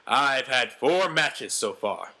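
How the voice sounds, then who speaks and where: A man's voice: mid-range voice, lively intonation, slow, sharp tone, full character voice, rough recording. A man voicing a brash, self-important ex-convict quarterback telling his own story with melodramatic, boastful delivery. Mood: indignant, confiding.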